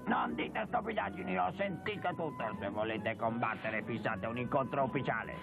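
A voice actor playing a bossy, scheming, grumpy old grandfather with a gravelly voice in a cartoon dub. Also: mid-range voice, animated, normal speed. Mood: scolding. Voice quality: gravelly, creaky old man's voice. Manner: scolding, theatrical. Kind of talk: cartoon dubbing. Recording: narrow phone-like dub with music behind.

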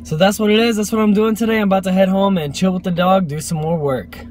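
A man's voice: high-pitched, steady tone, quick pace, over music. A man in his twenties talking casually to camera like a gym trainer, in an upbeat, slangy, folksy way. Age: 20s. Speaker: gym owner and personal trainer. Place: inside a car. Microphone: phone at arm's length. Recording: noisy car interior with engine and road hum.

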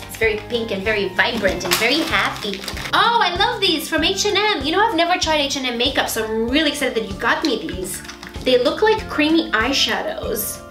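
A bubbly woman gushes over beauty products in a home vlog. Her voice sits fairly high and carries a roomy echo. A music bed plays underneath. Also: animated, brisk. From Romania. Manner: bubbly and gushing, with squeals. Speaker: beauty vlogger. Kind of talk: unboxing haul vlog. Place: small bright indoor home studio.

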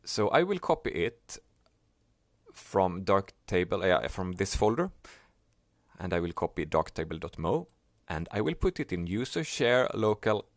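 A man whose first language is Swedish, explaining a software task step by step in a plain, unhurried way. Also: low voice, big pitch swings, dry recording, clean studio sound. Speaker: software tutorial maker. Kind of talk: screen-recorded software tutorial.

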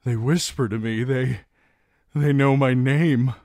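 A man acting an anguished, broken young lord, voice straining high. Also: unhurried, no echo, studio quality, natural voice. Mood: terrified, desperate. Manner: anguished, desperate pleading. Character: broken, terrified, pleading young man, tormented lord's son. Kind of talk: dramatic audiobook character acting.

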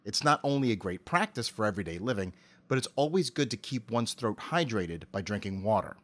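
A middle-aged man giving articulate, measured explanations as a voice actor being interviewed. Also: lowish voice, expressive, a bit bright.

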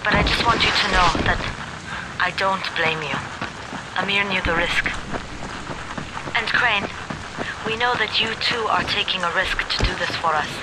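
A woman's voice: mid-range voice, normal speed, pauses often, lightly acted. Woman acting young female survivor, video game, compassionate, hesitant, sincere, very noisy background. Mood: tender, concerned.

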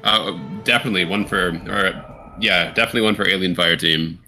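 Low, bright-edged male co-streamer. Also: fairly quick. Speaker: co-streamer guest. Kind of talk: casual reaction chat. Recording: music behind the voice. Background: game showcase music.

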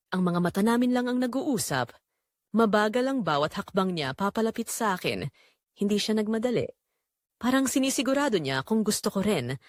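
A woman narrating a scripted first-person romance drama in measured, deliberate short phrases. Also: medium pitch, no echo, clean studio sound.

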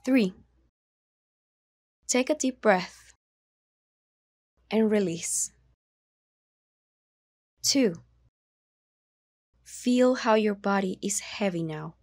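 A woman narrating a guided meditation in a soothing, measured manner, leaving long pauses between instructions. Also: mid-range voice, no echo.

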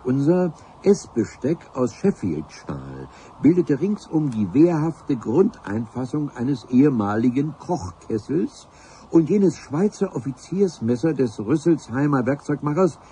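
Ein Schauspieler spielt einen hochtrabenden Abenteurer, der im Hörspiel feierlich und wortreich von seiner Expedition erzählt. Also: male voice, mid-range voice, very animated, measured pace, mellow, a little hiss or hum. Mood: grandiloquent. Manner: pompous, ornate storytelling. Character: grandiloquent male adventurer recounting his expedition. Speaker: narrator. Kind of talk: dramatic first-person radio play narration.

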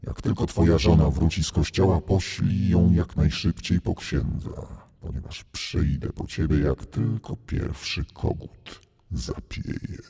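A grim Death figure from a folk tale. The voice is low, dark and gravelly, badly husked. He talks curtly and ominously, like a stranger who will not be argued with. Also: soft background music, heavily acted. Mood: solemn, ominous. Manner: curt, slow, ominous.

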